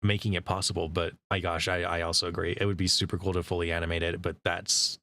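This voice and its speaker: A man hosting a casual interview with a voice actor, relaxed and quick to laugh. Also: low-pitched. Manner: relaxed, with easy laughs and "yeah" backchannels. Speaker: interview host.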